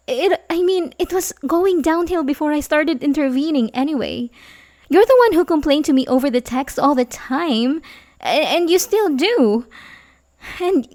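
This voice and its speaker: A woman playing an obsessive, manipulative young babysitter in love in an ASMR roleplay, halting and pleading with soft menace. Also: high voice, natural voice. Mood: insistent, pleading. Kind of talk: ASMR roleplay audio drama, addressing the listener.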